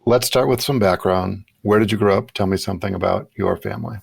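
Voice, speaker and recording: A man's voice: lowish voice, fairly quick, vocal fry, breathy edge, no echo, studio quality. A man hosting a podcast, speaking in a conversational interview style.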